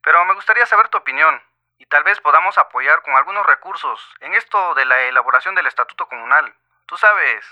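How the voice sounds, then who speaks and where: A man's voice: on the high side, expressive, fairly quick. Scheming Mexican man on dull phone line. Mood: slyly persuasive. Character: smooth, scheming mining company agent on the phone. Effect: telephone filter. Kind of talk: radio drama acting.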